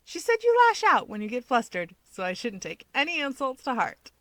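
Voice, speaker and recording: Woman voicing a higher-pitched, teasing young male hero. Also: huge pitch swings, a little fast, a bit bright, dry recording. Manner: insistent, teasing. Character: playful, stubborn young winged male hero, easily riled. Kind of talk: fan fiction audiobook dialogue.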